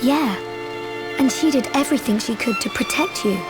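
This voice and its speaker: An actress playing a gentle, selfless young fantasy heroine in a video game cutscene, sincere and soft-spoken. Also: female voice, high-pitched, normal speed, over loud music, close to an everyday voice.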